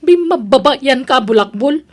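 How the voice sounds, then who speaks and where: A nagging mother in an Ilocano radio drama. She scolds in a very high voice with an Ilocano accent, fussing and reproachful. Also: expressive, moderate pace. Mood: scolding. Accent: Filipino, Ilocano.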